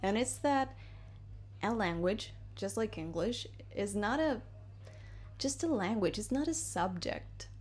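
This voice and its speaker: A woman from Argentina teaches English in a relaxed, chatty way, with a clear Argentine accent. She is a native Spanish speaker who talks to her viewers as if they were friends, moving between English and Spanish. Her voice sits at a middle pitch. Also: measured pace. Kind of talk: casual video lesson. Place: home in Madrid.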